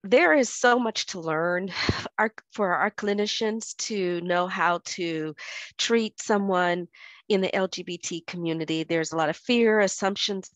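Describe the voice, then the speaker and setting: A woman with a head cold and a stuffy voice, presenting a workshop scenario over a video call in a measured way. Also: fairly low pitch, fairly bright tone, no echo. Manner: measured, reads the scenario aloud with pauses.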